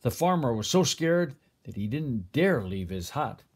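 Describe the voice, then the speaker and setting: An older man reads a children's picture book aloud as a grandfather would, with expressive, deliberate phrasing. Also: mid-range voice, slightly rough. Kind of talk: storybook read-aloud.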